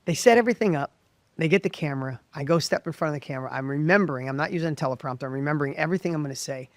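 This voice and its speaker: Middle-aged guy guesting on an interview, high gravelly voice, dry studio sound, keeps restarting his sentences. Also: no echo. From United States. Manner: animated, quick restarts and repeated phrases. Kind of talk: candid storytelling interview. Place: professional studio.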